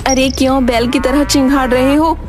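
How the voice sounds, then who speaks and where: An actress playing a dramatic, devout village wife in a children's cartoon, with a theatrical, exclamatory delivery. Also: female voice, high voice, steady tone, normal speed, over music, close to an everyday voice. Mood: scolding. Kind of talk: Hindi children's cartoon voice acting.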